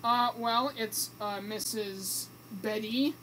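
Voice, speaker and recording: A radio co-host in improvised comedy banter, speaking in a halting, apologetic way. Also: medium pitch, fairly even intonation, fairly bright tone, a little hiss or hum, low-quality recording.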